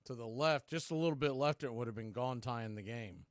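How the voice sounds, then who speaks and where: A man's voice: mid-range voice, a little fast, no echo, clean studio sound. A man giving live baseball play-by-play commentary as the announcer.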